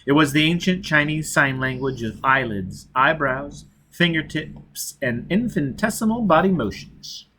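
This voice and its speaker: A man's voice: a little high, measured pace. Man narrating an audiobook, faint noise. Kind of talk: dramatic scripted narration. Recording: steady background noise.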